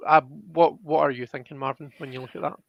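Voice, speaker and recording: A man's voice: a little high, fairly even intonation, fairly quick, vocal fry, no echo, lo-fi sound. A man hosting a live tarot reading in a casual, conversational way, checking in with his listeners as he explains.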